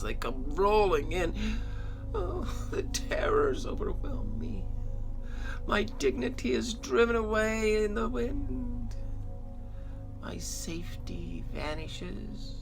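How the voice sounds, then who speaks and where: A performer voicing an anguished fallen patriarch in a dramatic scripture monologue, halting and theatrical, moving between breathy whispers and cries. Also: medium pitch, heavily acted. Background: music.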